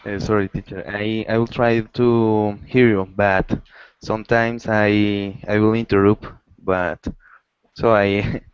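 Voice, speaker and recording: A Colombian-accented man, a native Spanish speaker learning English, talking in a halting, apologetic way. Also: lowish voice, little pitch movement, smooth, dry recording. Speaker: English student. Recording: dull online call audio.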